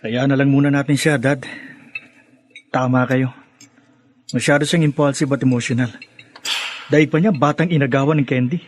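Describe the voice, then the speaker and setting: A man's voice: mid-range voice, steady tone, normal speed, pauses often, a little hiss or hum, close to an everyday voice. A man playing an easygoing young adult son in a radio drama, speaking with a Filipino accent. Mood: reasonable. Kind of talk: Tagalog radio drama acting.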